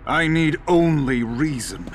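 An actor playing a stern, grave hero in a video game, speaking formally and with solemn command. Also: male voice, a little high, expressive, slow, faint music behind.

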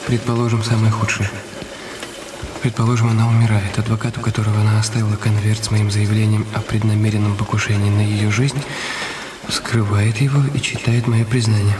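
An actor in a radio drama playing an anxious, calculating husband who broods aloud in halting phrases. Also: male voice, fairly low pitch, level tone, noisy background, close to an everyday voice. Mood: scheming. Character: anxious, calculating husband brooding over his injured wife. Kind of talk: radio drama acting, inner monologue. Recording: echoey, slightly distant studio sound. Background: music.

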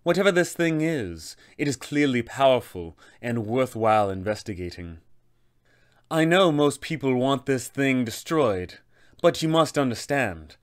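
A man voicing a haughty, scheming elven lich sorceress in a formal, measured, theatrical manner, for a tabletop game villain. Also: mid-range voice, very animated. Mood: formal, persuasive.

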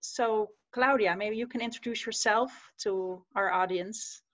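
A woman's voice: mid-range voice, measured pace, a bit bright, muffled recording. A woman from the Netherlands in her forties, co-hosting over a video call and prompting the guest with questions. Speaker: co-host and money coach. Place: home room with bookshelves. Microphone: video call webcam.

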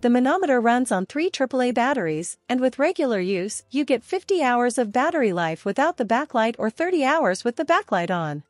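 High-voiced woman reading a product demo. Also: a little fast, few pauses, dry recording, soft background music. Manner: steady, even reading of long spec lists. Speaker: product reviewer. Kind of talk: scripted product narration.

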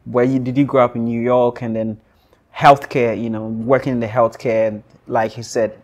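A man's voice: medium pitch, little pitch movement, moderate pace, darkish tone. A man interviewing a guest, asking rambling questions with many fillers. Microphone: lapel mic.

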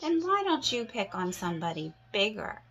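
A grouchy, bossy ladybug from a storybook, voiced by a woman reading aloud, shouting and screeching her challenges at every bug she meets. The voice is raised and loud, with a gravelly edge. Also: medium pitch, very animated, normal speed, pitched above the performer's own voice. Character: grouchy boastful female ladybug picking fights.

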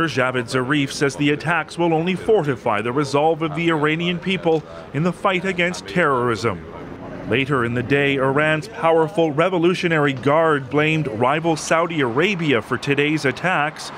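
A man reporting a scripted news story in a measured voice-over. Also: fairly high pitch, noisy background. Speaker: correspondent.

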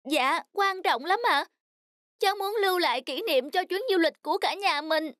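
Very high-voiced cartoon girl. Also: moderate pace, fairly bright tone, dry recording, studio quality. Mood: upset. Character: earnest, touchy young girl, easily annoyed big sister. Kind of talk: cartoon dub.

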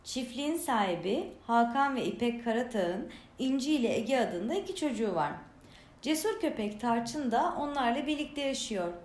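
A woman reading a scripted children's story aloud as storyteller, with an expressive delivery. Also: fairly high pitch, moderate pace. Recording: roomy echo, clear background noise.

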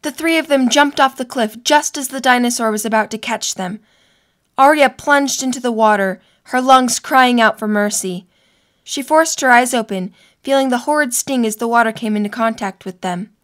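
A woman's voice: on the high side, normal speed, fairly bright tone. A woman narrating a scripted story for an audio drama.

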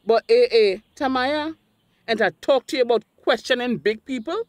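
An actress playing a nosy, indignant older village auntie in a radio drama, scolding in Creole with a Caribbean accent. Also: female voice, fairly high pitch, normal speed, darkish tone, no echo, close to an everyday voice.

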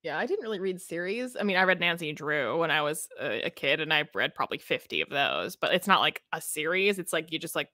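A woman's voice: low voice, expressive, brisk, hardly any pauses, sharp tone, no room sound, studio quality. A young woman chatting casually about books on a livestream, with a wry, informal way of telling things. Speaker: book discussion co-host. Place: small book-lined room at home, indoors.

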